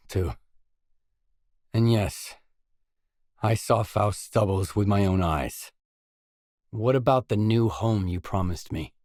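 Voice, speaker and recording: A wary stalker from a video game, a blunt loner with a low voice. He talks in terse, matter-of-fact questions and short replies. The recording is dry and close, with no room on it. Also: a little mellow, no echo. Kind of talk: video game dialogue lines.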